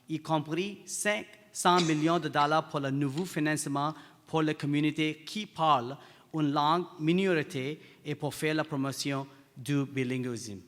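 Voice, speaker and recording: Un homme à la voix plutôt haute, candidat dans un débat, qui trébuche et répète des mots en cherchant son français. L'enregistrement vient d'une grande salle à longue réverbération. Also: male voice, a little high, fairly bright tone. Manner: halting, repeats words while searching for French. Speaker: election candidate. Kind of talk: debate statement. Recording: reverberant hall.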